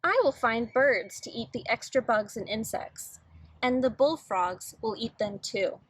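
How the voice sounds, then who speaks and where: A woman's voice: on the high side, unhurried, breathy edge, rough recording. A woman reading aloud as an eager, imaginative little boy in a children's picture book, earnest and dreamy.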